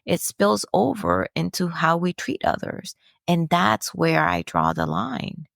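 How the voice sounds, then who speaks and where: A woman's voice: lowish voice, wildly swooping pitch, slow pace, creaky, no room sound, clean studio sound. A woman from the Dominican Republic hosting a podcast, talking through a personal reflection in an earnest, preachy way with rhetorical questions. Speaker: podcast host.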